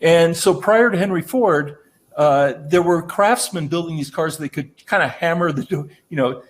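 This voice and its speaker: A man giving a training presentation on manufacturing, explaining in a relaxed, conversational way and often checking in with "right?". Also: fairly high pitch, normal speed.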